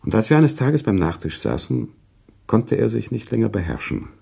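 An expressive, deep-voiced man narrating. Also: normal speed, vocal fry, slightly rough, full character voice. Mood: reflective. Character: male author narrator recounting a sad love story, earnest and sentimental. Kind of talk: radio play storytelling in dialogue with a listener.

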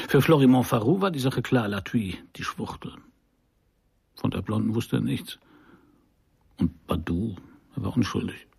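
A man's voice: on the low side, creaky, darkish tone, slightly rough, full character voice. An actor playing a middle-aged private detective in a noir radio play, narrating his case in a dry, laconic, sardonic way. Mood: wry, reflective. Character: hard-boiled male private detective narrating his case, wry and probing.

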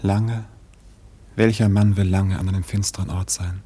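Husky, low-voiced proud trader prince. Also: male voice, level tone, normal speed, warm tone. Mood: ardent, intimate. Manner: solemn, poetic, declamatory. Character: proud, ardent male seafaring trader prince. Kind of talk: German radio drama acting.

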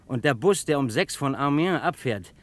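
Actor as chatty, precise bus conductor, medium-pitched. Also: male voice, expressive, normal speed, close to an everyday voice. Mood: confident, precise. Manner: talkative, precise, matter-of-fact. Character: chatty, punctual male bus conductor, sure of himself. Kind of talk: German radio drama acting.

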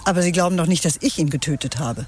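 A cool, secretive woman in a radio thriller, played by an actress. She speaks with guarded, measured control and quiet menace, evading rather than explaining. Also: lowish voice, a little hiss or hum, natural voice. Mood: guarded, menacing. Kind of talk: modern radio drama acting.

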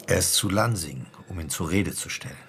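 Low, gravelly police inspector. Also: male voice, expressive, a little fast, a bit bright, slight background noise. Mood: matter-of-fact. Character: seasoned police inspector, male, dry and matter-of-fact. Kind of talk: naturalistic German radio crime drama dialogue.

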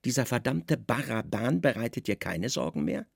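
A man's voice: medium pitch, big pitch swings, moderate pace, vocal fry, breathy edge, no room sound, clean studio sound, exaggerated voice. An actor playing a weary, imperious Roman governor in a radio drama, irritable, brooding and probing in his questions. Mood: weary, irritated.

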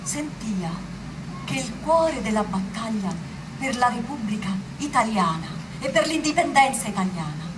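A woman's voice: a little high, recorded from a distance, background noise. A woman giving a solemn dramatic reading of a memoir.

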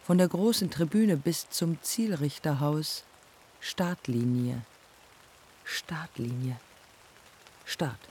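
An actress playing a proud, embittered dead woman aviator in a poetic radio play, speaking in terse, measured fragments. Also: low voice, a little mellow, a little hiss or hum. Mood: incantatory. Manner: measured, terse, fragmented phrasing with deliberate pauses.

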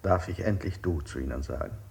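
Very deep-voiced male interrogator. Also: brisk, creaky, darkish tone, a little hiss or hum. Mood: quietly insinuating. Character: male interrogator, insistently solicitous, questioning a captive. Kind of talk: stylised 1960s German radio play acting.

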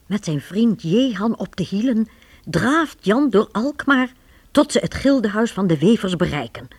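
A woman storyteller narrates a radio drama. Her voice is husky and lively, moving freely up and down as she reads the scripted tale. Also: medium pitch, unhurried. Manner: animated, storybook delivery.

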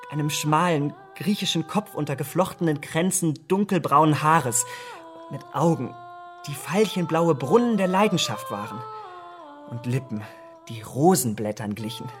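An actor playing an impressionable, vain young gentleman in a German radio drama, speaking in an earnest, rapturous way. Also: on the high side, lively intonation, measured pace, music in the background. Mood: enraptured.

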